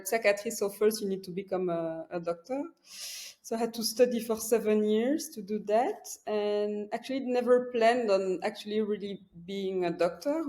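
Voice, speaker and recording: A young woman from Belgium with a Belgian French accent, a native French speaker, talking as a psychiatrist guest on a podcast, softly and with many pauses. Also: medium pitch. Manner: soft-spoken, searching for words with frequent "uh"; laughs lightly while speaking. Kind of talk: casual interview talk.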